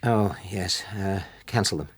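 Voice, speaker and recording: A man's voice: low voice, a little slow. A man acting in a radio drama as a middle-aged, guilt-stricken father, speaking in an earnest, hesitant, apologetic way. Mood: weary, evasive.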